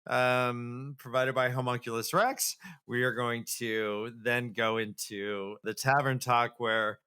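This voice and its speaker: A man co-hosting a casual, comedic podcast, retelling a story in an animated, jokey and halting way. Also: medium pitch, smooth. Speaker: podcast co-host.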